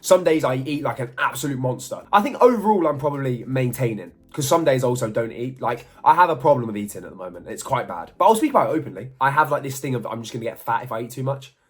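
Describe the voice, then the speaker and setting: A man's voice: mid-range voice, animated, fast talker, hardly any pauses, husky. A man, chatting through a casual Q&A vlog with jokey, self-mocking asides. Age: 20s. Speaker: model and YouTuber.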